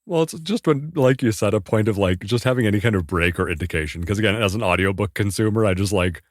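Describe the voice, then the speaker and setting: Man, very low and quick; emphatic co-host voice, dry close mic. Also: dry recording. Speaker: podcast co-host. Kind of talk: casual book discussion.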